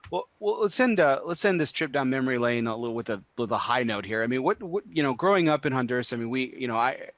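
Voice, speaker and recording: A man from Texas speaks as the host of a casual interview. His voice sits at a middle pitch and is recorded dry, with no room sound. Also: lively intonation, fast, a bit bright, dry recording, dull audio. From El Paso, Texas, United States.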